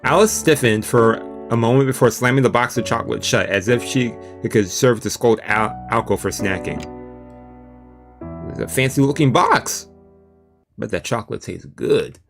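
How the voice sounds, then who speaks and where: A man's voice: medium pitch, normal speed, vocal fry. A male streamer reading a visual novel aloud expressively, mixing narration with casual, playful reactions. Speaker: let's play streamer. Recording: music playing behind the voice.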